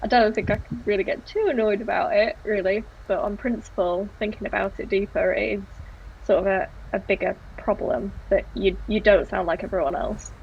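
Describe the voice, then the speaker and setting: A woman's voice: medium pitch, steady tone, brisk, gravelly. A woman talking candidly in a podcast chat, hesitant and full of filler words. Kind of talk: casual discussion. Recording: dull lo-fi sound with faint noise.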